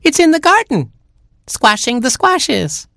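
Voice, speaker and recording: A storyteller voicing a tiny, excitable boy chick who squeals and whines dramatically. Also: slow, gravelly, dry recording, studio quality, full character voice. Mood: squealing. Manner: shrill, squealing and breathless.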